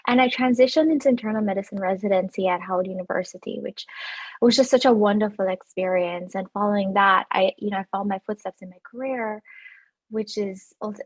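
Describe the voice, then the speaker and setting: Upbeat Jamaican woman, slightly husky voice. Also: mid-range voice, fairly even intonation, fast talker, poor audio. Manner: chatty, upbeat, many fillers and restarts. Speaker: physician giving residency interview advice. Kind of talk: advice talk to camera.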